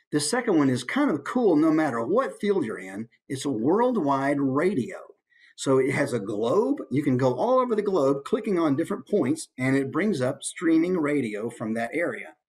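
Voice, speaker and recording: A man speaking as a voice actor giving an informal instructional presentation, animated and demonstrating points with his voice. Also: mid-range voice.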